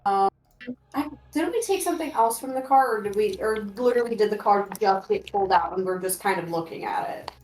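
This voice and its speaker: A woman's voice: mid-range voice, brisk, a little mellow, soft background music. Tentative woman in an echoey room. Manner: tentative, questioning. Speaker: player in tabletop game. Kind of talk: casual chat.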